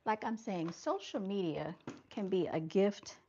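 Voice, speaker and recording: A woman with a soft voice chats casually with her livestream viewers and keeps calling them y'all. Her voice sits at an ordinary pitch. The camera-mic audio comes through a little dull. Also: animated, measured pace, slight background noise. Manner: soft-spoken, chatty, addresses viewers as y'all. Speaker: livestream host.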